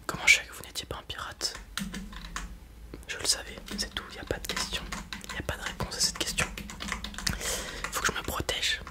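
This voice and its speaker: A man playing a blunt, casual space robot merchant in a whispered ASMR roleplay, muttering hesitantly in a breathy voice. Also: brisk, long pauses, lightly acted. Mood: businesslike, wary. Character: gruff, casual male space robot merchant, wary but kind.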